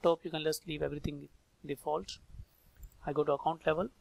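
A man with an Indian accent narrating a step-by-step software tutorial, thinking aloud informally in short phrases. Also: on the high side, flat delivery, a little fast, creaky. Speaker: software trainer. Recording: background noise with mouse clicks. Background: noise and clicking.

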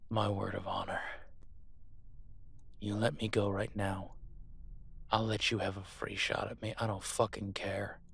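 A man's voice: lowish voice, little pitch movement, unhurried, creaky, long pauses, fairly bright tone, breathy edge, exaggerated voice. A man playing a captive werewolf alpha soldier in an audio roleplay, defiant, protective and sardonic as he urgently argues and swears. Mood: earnest pleading. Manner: profane, sardonic, urgent persuading.

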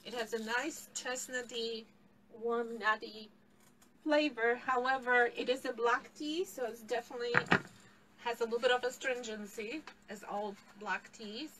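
A woman's voice: a little high, fairly even intonation, moderate pace, recorded from a distance, noisy background. A middle-aged woman with a Polish accent teaching a live tea tasting class in a tea shop, picked up by a camera across the table, explaining and laughing often. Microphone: far camera across table.

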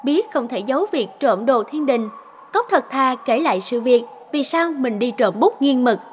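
A woman's voice: high-pitched, expressive, moderate pace, a little hiss or hum. A woman narrating a fairy tale in a scripted storytelling style. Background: loud background music.

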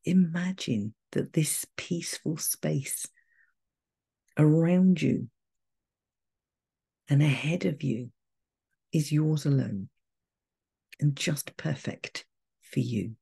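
Slow, husky, creaky-voiced woman guiding meditation. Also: deep voice. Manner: slow, gentle, measured with long pauses. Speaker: meditation guide. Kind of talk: guided meditation, calm and soothing.

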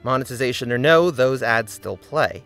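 A man's voice: medium pitch, expressive, normal speed, fairly bright tone, faint music behind. Man narrating a critical video essay. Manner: earnest, critical commentary.

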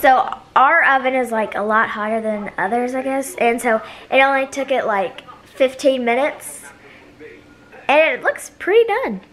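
A woman's voice: high voice, expressive, measured pace, fairly bright tone, slight background noise. A young teenage girl with an American accent, chatting informally in a casual home cooking vlog. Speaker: vlogger. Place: home kitchen, indoors. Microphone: camera at arm's length.

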